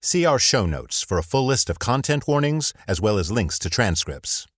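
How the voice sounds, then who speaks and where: A man's voice: medium pitch, big pitch swings, normal speed, husky, no echo, studio quality. A man announcer reading a scripted introduction and notice.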